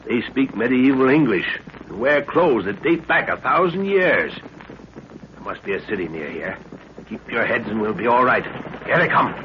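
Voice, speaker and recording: An actor playing an authoritative older professor leading a jungle expedition in 1930s radio style, commanding and sometimes grandly archaic. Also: male voice, mid-range voice, very animated, normal speed, close to an everyday voice. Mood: excited, cautious. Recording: muffled, noisy 1930s radio recording.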